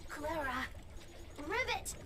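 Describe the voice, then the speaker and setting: Squeaky glitching little robot. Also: female voice, lively intonation, slow, background noise. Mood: agitated. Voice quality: choppy, mechanical single-word speech. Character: small glitching male robot, repeats single words. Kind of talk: video game voice acting. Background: game music.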